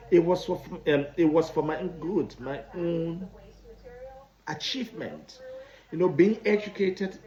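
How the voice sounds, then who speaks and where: Slow Liberian man reminiscing, lo-fi. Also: mid-range voice, mellow. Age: 30s. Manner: halting, repeats and restarts phrases. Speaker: vlogger. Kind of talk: personal storytelling. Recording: dull lo-fi recording.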